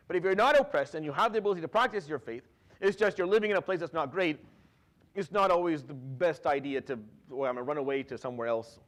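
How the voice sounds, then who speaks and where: Syrian-raised guy in his 30s giving a casual quran lesson, talks fast, kinda high voice, keeps restarting sentences. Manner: conversational, frequent restarts and repeated words, drops Arabic fillers; recites Quranic Arabic quickly. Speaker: imam giving Quran commentary. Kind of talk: informal religious lecture.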